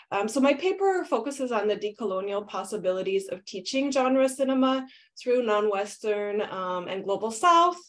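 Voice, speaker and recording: Woman giving an academic talk over video call. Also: medium pitch. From Canada. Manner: measured, with frequent ums and uhs. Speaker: film scholar presenting a conference paper.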